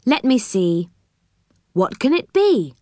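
Lady doing a keen curious young boy in a kids adventure story, lively, pitched up. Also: slow pace, dry recording, clean studio sound. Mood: eager. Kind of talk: children's storybook character voice.

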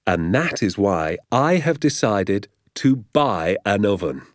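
A man's voice: on the low side, sing-song, takes it slowly, no room sound, clean studio sound. A man voicing an exuberant, boastful father in a storybook, grandly emphatic and stretching his words with relish.

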